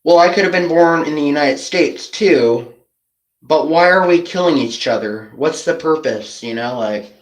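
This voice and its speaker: A man with a slightly high voice talks casually and at length, as if to an online audience. He sounds earnest and conspiratorial, meandering along and posing rhetorical questions. The sound is roomy and echoing. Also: normal speed. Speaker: online video creator. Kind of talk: rambling casual monologue to camera.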